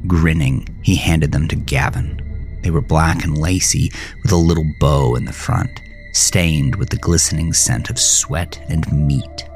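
Slow, low-voiced man narrating horror. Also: steady tone. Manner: measured, deliberate, brooding storytelling. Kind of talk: scripted horror thriller narration. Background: music.

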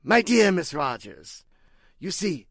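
A man playing a pompous, evasive spiritualist leader in a 1940s-style radio drama, speaking formally and haltingly. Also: sits high, very animated, fairly bright tone, dry recording, studio quality, heavily acted.

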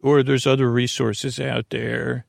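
Male sleep-podcast host, mid-pitched with plenty of vocal fry, halting and digressive bedtime monologue. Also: level tone, no echo, clean studio sound. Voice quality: creaky. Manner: meandering, halting, trailing off mid-thought. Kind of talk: rambling bedtime monologue meant to lull listeners to sleep.

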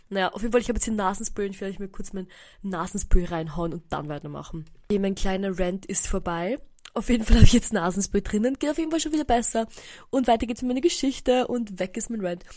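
A young woman with an Austrian German accent rattles along quickly in a casual solo podcast. Her nose is blocked from a cold, so her voice sounds congested, and she rambles chattily, dropping in English words here and there. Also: mid-range voice, darkish tone. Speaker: podcast host. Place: indoors at home.

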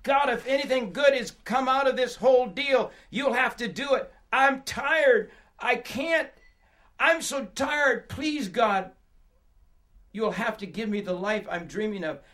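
A man playing a weary, desperate seeker who pours out his confessions in loud, emotional outbursts. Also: high voice, brisk, few pauses. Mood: desperate pleading.

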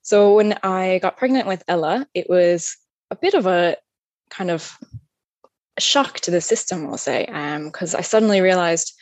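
American woman, 30s, podcast guest, lowish voice, dry sound, many ums. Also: normal speed, dry recording. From upstate New York. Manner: thoughtful, many fillers, soft trailing endings. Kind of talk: personal storytelling in conversation.

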